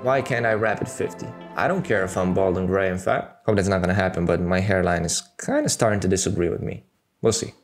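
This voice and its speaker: Man, 30s, Serbian accent, low-pitched, casual vlog talk, studio mic at home, background music. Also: a little fast. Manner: candid and conversational, with many fillers. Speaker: YouTuber. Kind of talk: casual talking-head monologue. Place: small room at home, indoors. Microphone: studio mic on boom arm, close.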